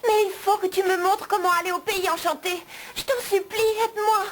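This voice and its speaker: A woman voicing an earnest, worried little girl in an animated film dub, with a pleading, incredulous way of talking. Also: very high-pitched, fairly quick, a little hiss or hum. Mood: anxious, pleading. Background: music.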